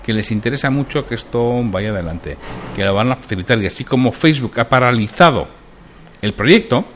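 A man's voice: medium pitch, animated, brisk, slight background noise. A man hosting a solo podcast, giving opinionated commentary on the news and putting questions straight to his listeners. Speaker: podcast host.

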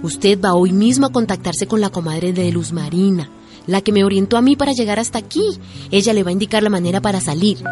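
An actress playing an emotional, spirited young Colombian daughter in a radio drama, effusive and full of exclamations. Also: female voice, medium pitch, brisk, music in the background, close to an everyday voice. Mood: insistent, loving.

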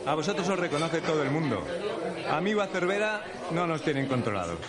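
A man's voice: a little high, sing-song, a little fast. A man playing a grumbling, overlooked radio pioneer in a comic radio drama, with a noisy crowd behind. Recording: loud crowd noise behind.

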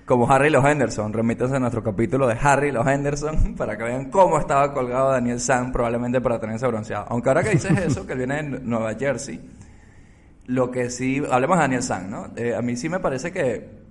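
Joking podcast host. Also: male voice, mid-range voice, a little fast. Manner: joking, show-host asides to listeners. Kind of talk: casual film chat.

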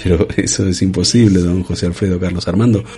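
A man hosting a comedy interview in a studio, polite and deadpan, often stammering on restarts. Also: low-pitched, quick pace, faint music behind. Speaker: host.

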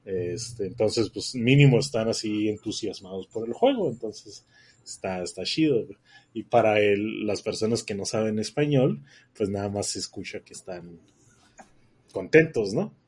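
A man's voice: on the low side, lively intonation, normal speed, darkish tone, breathy edge. A man with a northern Mexican accent chatting casually as a podcast co-host, rambling with lots of fillers and restarts. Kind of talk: casual chat.

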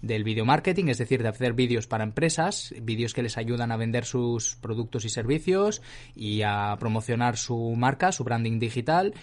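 A Spanish man of thirty with a voice of average pitch. He talks in an animated, jokey manner as a podcast co-host and often fills pauses with "eh". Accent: Spain. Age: 30s. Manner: animated, chatty, joking, fillers. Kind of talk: casual chat.

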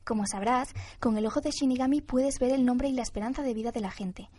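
A woman's voice: on the high side, fast, dark tone, husky. An actress playing an eager, devoted, reckless young woman in a radio drama.

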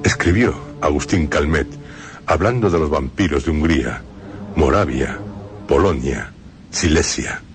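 A man hosting and narrating a radio drama program, speaking in a measured, ominous way with dramatic pauses. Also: rumbling low, lively intonation. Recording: radio broadcast with clear music bed.

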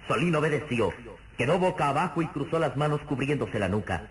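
A man's voice: mid-range voice, animated, a little fast, lightly acted. A man narrating an adventure radio serial in a formal, emphatic, suspenseful style. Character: grave, vivid narrator of a heroic adventure serial. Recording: noisy old radio serial recording.

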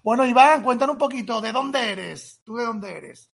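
High gravelly husky male host. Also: animated, normal speed, fairly bright tone. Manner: eager, prompts with "¿no?" tags. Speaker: podcast host. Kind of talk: interview hosting.

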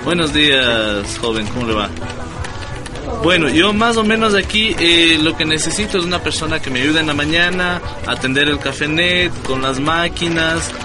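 A man acts an amiable internet café boss in a radio serial. His voice sits a little high, with an Ecuadorian accent and a polite, chatty, slightly hesitant manner. Music plays clearly underneath. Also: normal speed, lightly acted. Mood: friendly, businesslike. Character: friendly, obliging internet café owner, Mr. Padilla. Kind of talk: naturalistic radio drama dialogue.

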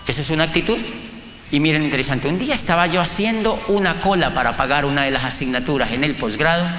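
A man's voice: fairly high pitch, fast, sharp tone. A middle-aged Colombian man giving an emphatic motivational talk, telling personal stories and putting rhetorical questions to a live audience. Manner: emphatic, asks rhetorical questions, acts out dialogues. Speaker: motivational speaker and business coach. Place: large room or hall before an audience. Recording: echoey hall, faint background noise.

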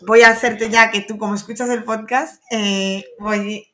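A woman's voice: on the high side, flat delivery, unhurried, a bit bright, slight background noise. A woman hosting a podcast, asking her guest questions with plenty of fillers. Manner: hesitant, many fillers while asking. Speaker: podcast host. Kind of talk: casual interview questions.

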